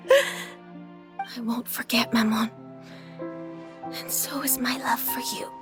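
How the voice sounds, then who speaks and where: A woman voicing a frail, tender, sleepy child in a video game, soft and breathy. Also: on the high side, fairly even intonation, takes it slowly, over music. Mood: tender, peaceful.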